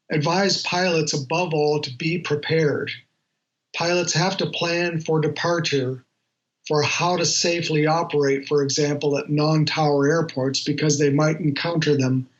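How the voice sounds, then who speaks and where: A man, an aviation journalist, giving measured explanatory answers in an interview, on a slightly lo-fi recording. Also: a little high.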